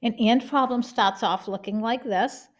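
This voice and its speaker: A woman's voice: fairly high pitch, measured pace, a little hiss or hum. Boston-accented woman teaching math. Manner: plain step-by-step explaining, frequent checks with okay. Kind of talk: whiteboard math lesson.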